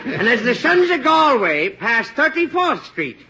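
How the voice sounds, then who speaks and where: Loud irish tall-tale teller, sharp scratchy high voice, dull old radio sound. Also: male voice, sing-song, a little slow, husky, full character voice. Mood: blustery, wry. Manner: colorful, boastful storytelling. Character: blustery Irish working man full of tall tales. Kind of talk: 1940s radio comedy acting. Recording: muffled old-time radio recording.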